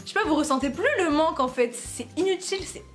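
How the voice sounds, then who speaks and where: A woman's voice: high-pitched, quick pace, soft background music. A young woman from France chatting casually to her camera at home, playful and self-mocking, often breaking into laughter. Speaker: YouTuber. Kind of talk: casual vlog chat to camera.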